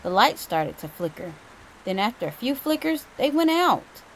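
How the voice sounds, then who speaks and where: A woman reading a children's story aloud with expressive, dramatic emphasis. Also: a little high, normal speed, a little hiss or hum, rough recording. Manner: animated, dramatic emphasis for young listeners.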